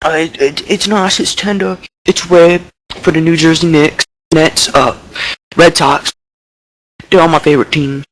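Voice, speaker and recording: A man's voice: a little high, fairly even intonation, unhurried, fairly bright tone, poor audio. A man playing a dim-witted young baseball prospect who rambles with slang and lots of fillers. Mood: cocky, rambling. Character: dim-witted young male baseball prospect, deli-obsessed, Bronx swagger. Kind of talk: improvised mock interview.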